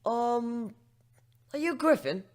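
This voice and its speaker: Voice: mid-range voice, animated, slow pace, darkish tone, voice pushed up. A man voicing a polite young female pony reporter in a story reading, tentative and courteous.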